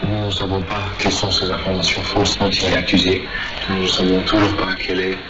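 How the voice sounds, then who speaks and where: A man from Egypt giving halting, spontaneous interview answers with repeated words, heard on shortwave radio. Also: low-pitched, monotone, over loud music. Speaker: human rights NGO director, interviewee. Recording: distant, muffled, very noisy shortwave.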